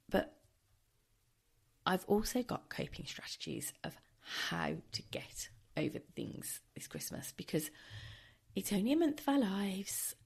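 A woman hosting a casual solo podcast, chatty and wry, with a tired, croaky voice, recording at home. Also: fairly low pitch, a little mellow. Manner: chatty, wry and self-deprecating. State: hoarse, tired. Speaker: podcast host.

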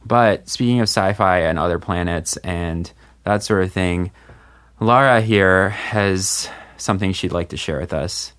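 A man's voice: sits low, a little slow, fairly bright tone, smooth. A man hosting a podcast in casual chat, steering the talk and teasing his co-hosts. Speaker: podcast host.

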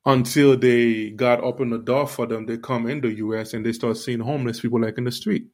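A man's voice: medium pitch, steady tone, a little fast. Earnest Haitian-born man hosting a podcast. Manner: earnest, preachy, talks straight to listeners with many fillers. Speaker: podcast host. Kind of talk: casual faith podcast monologue.

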